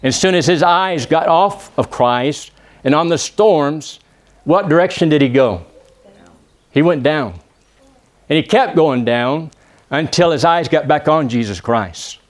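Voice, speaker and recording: A man from Texas with a Texan accent, preaching a church sermon and praying, often pausing with "uh" and asking the congregation for an "amen". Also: fairly high pitch, animated. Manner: peppers phrases with "amen?" asking the congregation to answer; halting, with many "uh" pauses and restarts. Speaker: visiting preacher.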